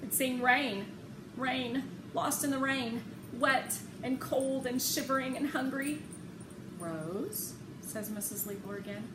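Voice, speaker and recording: A woman narrating a children's novel aloud in an expressive storytelling style. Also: sits high, a little slow. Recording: echoey room with clear background noise.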